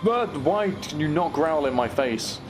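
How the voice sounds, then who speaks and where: A man's voice: on the high side, lively intonation, unhurried, background noise. A man giving casual, rambling gaming commentary to a live chat, with playfully exasperated banter. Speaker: game streamer hosting a live chat stream.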